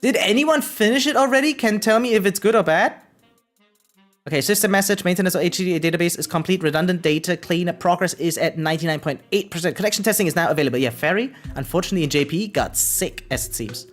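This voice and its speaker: A game streamer chatting casually with viewers, excitable and sweary, reacting aloud and reading bits of game text. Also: mid-range voice, quick pace, faint music behind.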